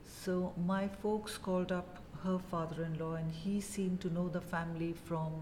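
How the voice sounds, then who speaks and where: A woman in her fifties from India with an Indian English accent, telling her story as a guest in a casual interview, measured and full of pauses. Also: fairly low pitch, fairly even intonation, darkish tone, long reverb tail, slight background noise. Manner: measured, many pauses and "uh" fillers.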